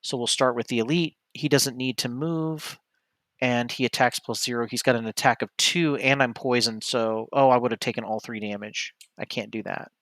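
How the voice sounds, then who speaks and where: Guy streaming a solo board game, mid pitch voice, thinking out loud n second guessing himself, dry sound. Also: steady tone, normal speed, dry recording. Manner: hesitant, self-correcting, talks through each move. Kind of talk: casual play-by-play commentary, thinking aloud.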